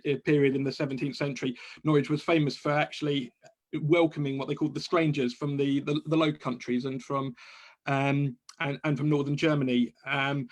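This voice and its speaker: A man raised in England introducing himself as a councillor on a video call, speaking in a measured, articulate way. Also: fairly high pitch, flat delivery. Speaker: local councillor.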